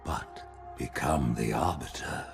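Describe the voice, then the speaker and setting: An actor playing an old, regal alien high priest, grandiose and calculating, in a cinematic video game. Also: male voice, faint music behind, heavily acted. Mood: stern, persuasive. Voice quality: deep, creaky. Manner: slow, grandiose, pronouncing. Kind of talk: cinematic game cutscene acting.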